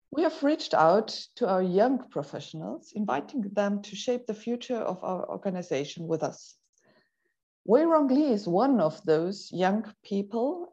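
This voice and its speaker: Mellow-voiced woman interviewer, lo-fi call. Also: mid-range voice. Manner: measured and formal, with small hesitations. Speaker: interviewer, board representative. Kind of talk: scripted interview questions.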